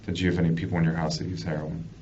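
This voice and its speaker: Male police detective, low monotone, blunt persistent questioning, muffled noisy interrogation recording. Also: racing, recorded from a distance. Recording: muffled lo-fi interview recording, clear background noise.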